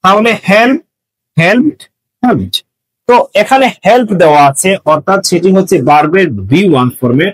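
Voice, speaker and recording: A man's voice: sits high, moderate pace, poor audio. A man teaching students in an explanatory, step-by-step manner, repeating key points as he goes. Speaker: English grammar teacher. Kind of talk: explanatory lesson addressed to students.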